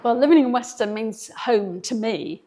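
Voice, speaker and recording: A woman's voice: fairly high pitch, lively intonation, normal speed, dark tone, a little hiss or hum. A woman speaking fondly about home. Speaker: local resident. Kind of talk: short personal remark.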